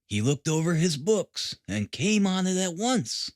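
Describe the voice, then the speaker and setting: A man's voice: sits high, big pitch swings, a little slow, no room sound, clean studio sound, pitched above the performer's own voice. A man voicing a smug, boastful police detective in an audiobook, chatty and emphatic. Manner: self-satisfied, emphatic, chatty.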